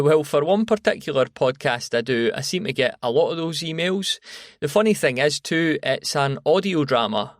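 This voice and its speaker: A man's voice: mid-range voice, dry recording, clean studio sound. A man with a Scottish accent giving an informal, dryly humorous voice message as a podcaster.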